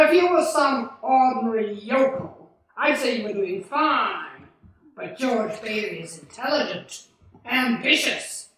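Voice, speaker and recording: Radio drama villain: wily old male banker, played by a man, sharp, blustering and smug in tone, distant and very reverberant stage sound. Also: extremely high pitch, full character voice. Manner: condescending, wheedling, blustering. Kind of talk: 1940s radio play acting. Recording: distant, very echoey stage hall.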